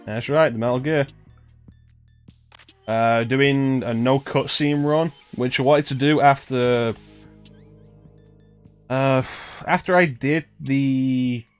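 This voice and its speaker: A man's voice: medium pitch, steady tone, takes it slowly, long pauses, smooth, soft background music. A man streaming a video game with casual live commentary, talking in rambling, conversational asides.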